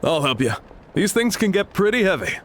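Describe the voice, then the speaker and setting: A brisk, sensible young guy. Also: sits high, very animated, a bit bright, a little hiss or hum. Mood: dry. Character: practical, sensible young man, mildly scolding. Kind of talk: game cutscene voice acting.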